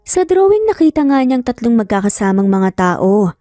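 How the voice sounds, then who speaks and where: A woman's voice: on the high side, very animated, moderate pace, no echo, clean studio sound. A woman with a Filipino accent reading a scripted, dramatic story as its narrator. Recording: soft music under the voice.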